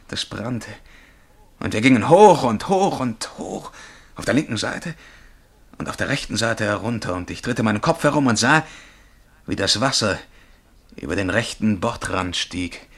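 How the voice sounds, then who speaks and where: A haunted young man tells of his dream life as a chained galley slave. His pitch swings widely and the telling is vivid and intense, with halting searches for words. It is dramatic radio play storytelling. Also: a bit bright.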